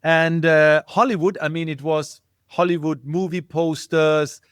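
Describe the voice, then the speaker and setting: A man's voice: on the high side, steady tone, measured pace, clear, resonant tone, dry recording, clean studio sound. German-accented man, reflective and searching. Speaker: interview guest. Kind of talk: casual interview talk.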